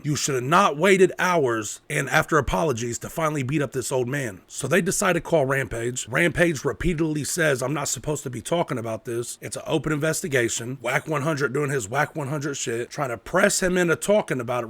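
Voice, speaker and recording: A man gives casual, opinionated commentary as a show host. His voice sits a little high for a male speaker, and the recording is dry and close, with very little room sound. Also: a bit bright, no room sound.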